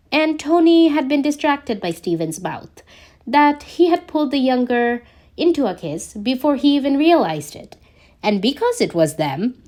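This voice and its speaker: Woman reading a story out loud, kinda high voice, really moves up and down. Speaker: podfic narrator reading fan fiction. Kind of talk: scripted story narration.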